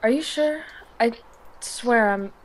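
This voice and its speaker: A woman's voice: a little high, takes it slowly. A woman acting an insecure, romantically unlucky teenage schoolgirl in an amateur audio drama, self-pitying and hesitant. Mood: sulky, defensive.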